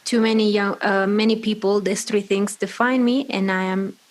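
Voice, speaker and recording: A woman's voice: mid-range voice, fairly even intonation, normal speed. A woman from Peru whose native language is Spanish, hosting an interview in a chatty way, with lots of "like" and "you know". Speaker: podcast host. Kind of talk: casual interview chat.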